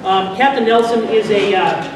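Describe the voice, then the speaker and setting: Man, high-pitched, informal presenter, heavy echo, room noise, live instructions. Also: normal speed. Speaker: presenter directing an audio drama recording. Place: large convention hall. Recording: echoey noisy hall, distant sound. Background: room noise and ambience.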